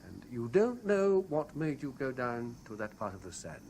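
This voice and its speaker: An actor with a British accent playing a lonely, self-doubting bachelor in a 1970s radio drama, hesitant and solicitous. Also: male voice, medium pitch, big pitch swings, normal speed, darkish tone. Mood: concerned. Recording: noisy 1970s radio broadcast recording.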